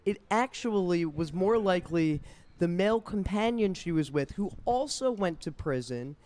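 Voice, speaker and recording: A woman's voice: lowish voice, expressive, moderate pace, a little mellow. A woman, hosting a podcast and explaining at length, recorded outdoors with a crowd behind her. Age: 30s. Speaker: podcast host. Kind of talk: casual outdoor interview chat. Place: outdoors on a state house lawn.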